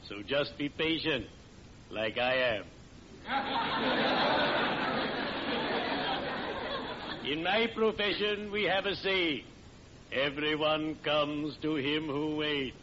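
An actor in an old-time radio sitcom playing a courtly, gleefully morbid friendly undertaker, with a formal, theatrically solemn delivery. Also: male voice, on the high side, expressive, drawn-out words, long pauses, over a noisy crowd. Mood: wry encouragement. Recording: muffled, noisy vintage radio with audience.

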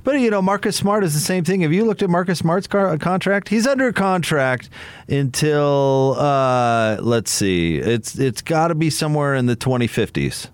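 A man's voice: a little high, expressive, normal speed, clean tone, no echo. American man talking sports, dry close sound. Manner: conversational, hesitant, repeats words as he builds his point. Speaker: sports radio commentator and guest. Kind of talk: casual sports radio discussion.

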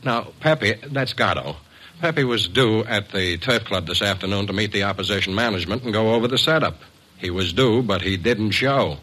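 An actor in a classic radio drama playing a worried, plain-spoken middle-aged boxing manager, earnest and slangy. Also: male voice, lowish voice, moderate pace, sharp tone, breathy edge, rough recording. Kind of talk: 1940s-50s radio drama acting.

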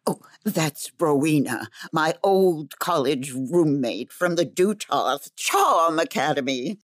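A woman's voice: sits low, lively intonation, takes it slowly, no room sound, studio quality, full character voice. A woman playing a chatty, theatrical fantasy storyteller who is easily sidetracked, in a comedic audio drama. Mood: breezy. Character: chatty, scatterbrained female fantasy storyteller and academy alumna.

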